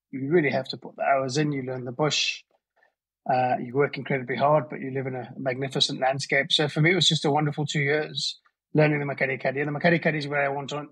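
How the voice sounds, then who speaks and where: A man's voice: medium pitch, fairly bright tone, husky, low-quality recording. A middle-aged man with a Southern African English accent, a conservation biologist speaking modestly and thoughtfully, calling in remotely. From Zambia and Zimbabwe. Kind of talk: casual interview answers.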